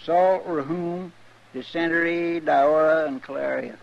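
Folksy male cowboy sidekick, high rural Western drawl, earnest and hesitant, dull old radio sound. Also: steady tone, takes it slowly, creaky. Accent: American rural Western drawl. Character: folksy, gullible, good-natured frontier sidekick. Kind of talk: 1950s radio western acting. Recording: dull, slightly noisy old radio recording.

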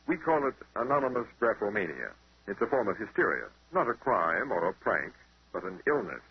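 An actor playing a pedantic middle-aged psychiatrist in a 1930s radio drama, formal and evasive, on an old broadcast recording. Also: male voice, fairly low pitch, big pitch swings, brisk, slightly rough, no echo, muffled recording, full character voice. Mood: lecturing, dismissive.

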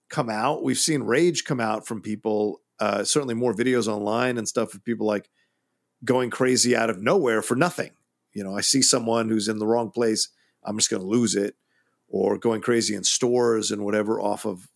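A man's voice: medium pitch, gravelly. A man co-hosting a casual film discussion podcast, musing animatedly with lots of fillers. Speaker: podcast co-host.

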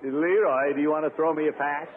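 An actor playing a pompous, excitable middle-aged uncle and former college athlete in a 1950s radio comedy, blustering and chuckling. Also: male voice, a little high, brisk, natural voice. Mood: eager. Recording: tinny, noisy 1950s radio recording.

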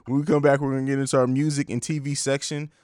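A young man hosting a casual podcast, swearing freely and telling animated stories. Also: mid-range voice, fast, smooth, no echo, studio quality. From grew up on US military bases in Italy. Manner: animated storyteller, laughs often. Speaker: podcast host.

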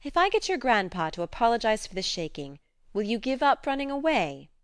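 Lady reading as a sensible tomboy teen girl, frank and coaxing, clean clear voice, audiobook. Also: fairly high pitch, big pitch swings, a little fast, no echo, studio quality, pitched above the performer's own voice. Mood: firm.

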